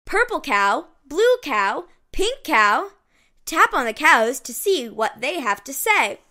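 A woman's voice: high voice, sing-song, takes it slowly, bright, forceful tone. A woman narrating a read-along storybook for young children in short, simple, encouraging phrases.

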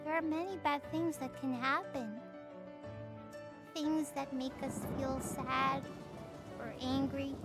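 High kiddie-sounding host doing bible stories for little ones, simple expressive talk, music behind. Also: slow pace, long pauses. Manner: simple, expressive address to young listeners. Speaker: host of a children's Bible story show. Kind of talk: animated scripted storytelling and teaching for children.

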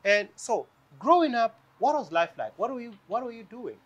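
High, lively-voiced teasing male TV host. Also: moderate pace, highs cut off. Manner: playful, teasing, laughs often. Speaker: TV show host. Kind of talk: lively TV interview. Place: living room of a house. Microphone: lapel mic.

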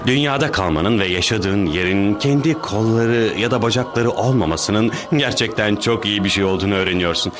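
A man's voice: fairly low pitch, normal speed, hardly any pauses, lightly acted. An actor playing an earnest, bombastic, goofy male superhero in a cartoon dub, theatrical and prone to fluster. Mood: solemn. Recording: music under the voice.

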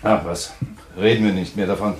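A man's voice: deep voice, fairly even intonation, moderate pace, close to an everyday voice. An actor in a German radio play playing a sceptical, scornful man who reasons dismissively. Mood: annoyed.

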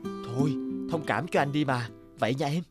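A man voicing an earnest, expressive young office worker in a cartoon, with music underneath. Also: medium pitch, moderate pace, close to an everyday voice. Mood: warm, casual. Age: young adult. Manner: expressive, dramatic. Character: earnest young office manager, groom-to-be, easily distressed. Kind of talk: cartoon dubbing.

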